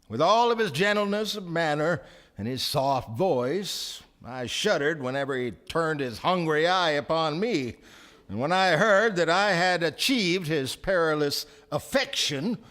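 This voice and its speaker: An actor playing a genteel, fretful gentleman who narrates in florid, formal language in a live radio play. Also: high voice, exaggerated voice. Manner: florid, formal, melodramatic storytelling. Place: theater stage before a live audience.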